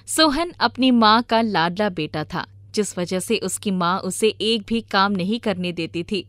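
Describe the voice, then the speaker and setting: A woman narrates a scripted moral tale in the manner of a storybook reader. Her voice sits at a medium pitch, with music playing underneath. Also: a bit bright. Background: background music.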